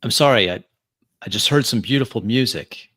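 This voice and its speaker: A man playing a smooth, flattering biker who speaks haltingly and coaxingly in a tabletop roleplaying game. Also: mid-range voice, no echo, studio quality, voice pushed up. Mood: smooth flattery. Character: smooth, coaxing male biker courting a singer.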